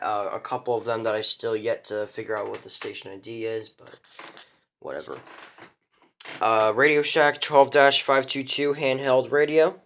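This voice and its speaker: A man's voice: medium pitch, a bit bright. A young man giving a casual show-and-tell of his radio collection, rambling, with many fillers and restarts.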